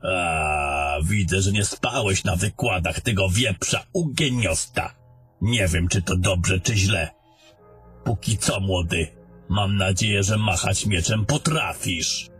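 A man playing an old, haughty, sardonic wizard in a fantasy audio drama, lecturing in a deliberate, grumbling manner over music. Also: low voice, a bit bright. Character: old haughty sardonic male wizard, gruff mentor to a soldier.

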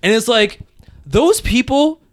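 A man in a casual group chat, animated and laughing, swearing freely. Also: very high-pitched, slow.

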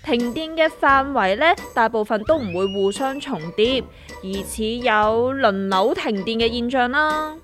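Actress as a knowing Hong Kong woman, highish voice. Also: expressive, unhurried, a bit bright. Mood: matter-of-fact. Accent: Hong Kong Cantonese. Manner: explanatory, chatty. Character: plain-spoken, knowing woman explaining the news. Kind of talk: comedic radio drama. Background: music.

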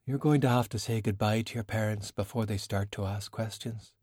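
Audiobook role: elegant, cool veteran sorceress, acted by a man. Formal, measured, admonishing, dry studio sound. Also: fairly low pitch, fairly even intonation, darkish tone, slightly rough, no room sound. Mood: grave, cool. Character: worldly, composed, cool woman sorcerer, long-lived and stern.

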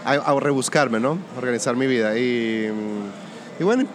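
A man from Colombia with a Colombian-Venezuelan border accent, a music producer talking through his work in a relaxed interview, pausing and restarting his sentences. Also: medium pitch, slight background noise. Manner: thoughtful, restarts often. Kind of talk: casual interview conversation.

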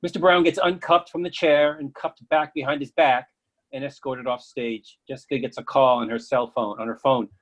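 A man's voice: mid-range voice, fairly even intonation, normal speed, a bit bright. Man reading stage directions. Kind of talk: scripted narration.

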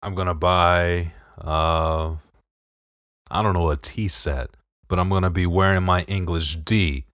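A man's voice: low-pitched, fairly even intonation, unhurried, fairly bright tone, dry recording, clean studio sound. A man from Detroit with a Detroit accent, co-hosting a casual podcast chat in a laid-back, profane way. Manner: laid-back, swears, repeats "bro". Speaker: podcast co-host.

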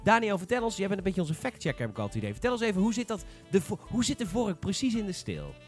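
Male podcast host, high-pitched, lively, frank and opinionated, casual chat. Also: fast talker, soft background music.

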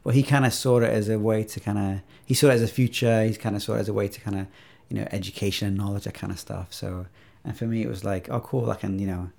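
A man's voice: on the low side, steady tone, quick pace, vocal fry, hardly any pauses, a little mellow. A man in his thirties, originally from Iraq, talking reflectively as a podcast guest with many fillers and restarts. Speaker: podcast guest, video game maker. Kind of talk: casual interview chat.

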